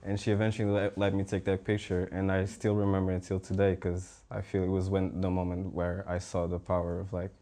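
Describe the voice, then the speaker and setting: Young man, very deep voice, monotone, casual panel talk. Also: brisk, hardly any pauses. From Portugal. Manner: relaxed, rambling, many fillers. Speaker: visual artist, panel guest.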